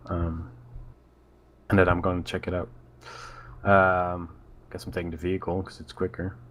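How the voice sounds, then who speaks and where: Male tabletop player, very low register, hesitant casual speech, noisy clicking mic. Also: completely flat delivery, moderate pace, fairly bright tone. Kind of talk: casual chat during a roleplaying game.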